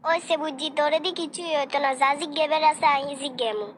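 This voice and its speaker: A woman's voice: high-pitched, quick pace, a little hiss or hum, lightly acted. A woman with a Noakhali Bengali accent voicing a nosy, haughty young sister in a comic cartoon. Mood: testing. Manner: interrogating, haughty. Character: nosy, snobbish young sister grilling a prospective bride.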